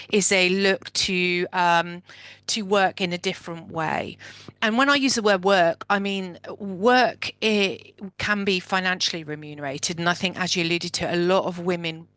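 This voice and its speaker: A woman's voice: fairly low pitch, a bit bright, clean tone, no echo. A woman author speaking thoughtfully as a guest on an online panel.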